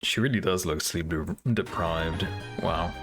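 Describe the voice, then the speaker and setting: Guy with a low voice reacting to game trailers, rambly n hesitant, music behind him. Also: measured pace, fairly bright tone. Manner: hesitant, thinking aloud. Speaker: reaction video host. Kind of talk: casual off-the-cuff reaction commentary.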